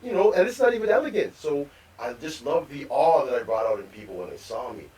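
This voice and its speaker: A man co-hosting a live podcast, telling rambling stories about growing up, with repeated words and animated quotes. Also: medium pitch, fast. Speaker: podcast co-host. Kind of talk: storytelling in casual chat. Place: live podcast recording venue. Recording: distant mic with faint room ambience.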